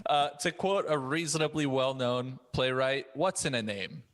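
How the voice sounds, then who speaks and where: Male panel host, mid-pitched, measured and articulate. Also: fairly bright tone. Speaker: podcast host. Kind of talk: panel discussion.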